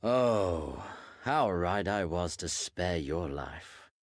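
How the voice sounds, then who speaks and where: An actor playing a cold, bloodthirsty imperial prince in a game cutscene, speaking with grandiose, deliberate disdain. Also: male voice, low voice, expressive, no echo, studio quality, full character voice. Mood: exultant. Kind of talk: dramatic game cutscene acting.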